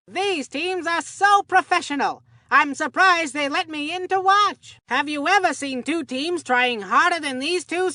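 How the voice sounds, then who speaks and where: A woman voicing an excitable, folksy young baseball commentator in a cartoon video game, gushing in old-time slang. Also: very high voice, dry recording, clean studio sound, exaggerated voice. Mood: enthusiastic, admiring. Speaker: sports commentator. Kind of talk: scripted game sports commentary.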